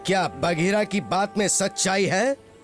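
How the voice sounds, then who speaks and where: A man's voice: sits high, unhurried. A man voicing a stern wolf pack leader in a cartoon, solemn and commanding. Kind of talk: dubbed cartoon voice acting. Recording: music behind.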